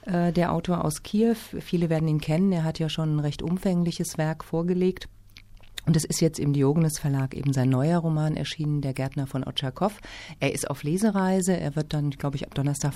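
A woman from Hesse, a literature festival organizer, answering interview questions in a lively, engaged way with many fillers. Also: low-pitched. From Hesse, Germany.

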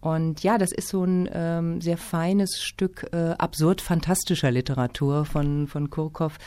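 A woman's voice: low voice, a little slow. Engaged woman festival organizer. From Hesse, Germany. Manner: lively, engaged, with many fillers. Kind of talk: interview answers.

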